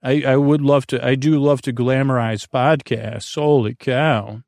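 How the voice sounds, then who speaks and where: A man hosting a sleep podcast in a meandering, halting monologue, often stammering and trailing off, with a creaky, droning voice recorded in a small closet. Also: mid-range voice, steady tone, darkish tone, no room sound, studio quality. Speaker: podcast host. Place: small closet under the stairs.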